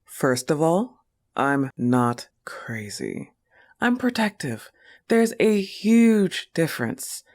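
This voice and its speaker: A woman's voice: deep voice, big pitch swings, slow pace, a little mellow, exaggerated voice. A woman voicing a protective, affectionate young goth wolf-girl girlfriend in an intimate audio roleplay, coaxing and reassuring the listener with a firm manner.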